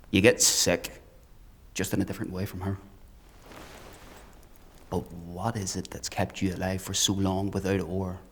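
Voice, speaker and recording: Low-voiced, menacing, mocking villain. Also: male voice. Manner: theatrical, deliberate, taunting, dropping to whispers. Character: menacing male fanatical hunter, coldly articulate, mocking. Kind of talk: dark fantasy audio drama acting.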